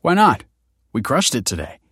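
Audiobook young lead man narrating: sincere, upbeat, easygoing, lightly raised pitch, peacemaker manner. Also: wildly swooping pitch, normal speed, fairly bright tone, breathy edge, no echo, studio quality, heavily acted. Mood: smitten, sincere. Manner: conciliatory, eager, sincere.

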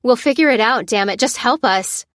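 A woman voicing a bold woman in an audiobook, giving orders with urgent, forceful defiance. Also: on the high side, measured pace, no echo, studio quality. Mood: urgent, commanding.

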